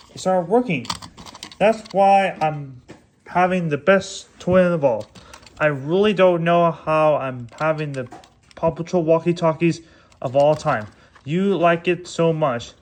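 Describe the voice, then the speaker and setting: A man's voice: on the high side, a little mellow, slight background noise. A man reviewing and unboxing toys in a casual, rambling way, often stumbling and correcting himself. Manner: rambling, stumbles over words and restarts often. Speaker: toy reviewer.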